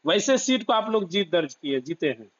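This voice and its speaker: A man's voice: sits high, very animated, moderate pace, dull audio. A man interviewing a guest for a news outlet over a call, putting probing questions.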